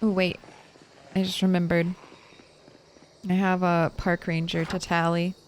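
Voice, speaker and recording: A woman's voice: fairly low pitch, little pitch movement, unhurried. A young adult woman streaming a game, chatting casually, thinking aloud and laughing often. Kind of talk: casual chat while gaming.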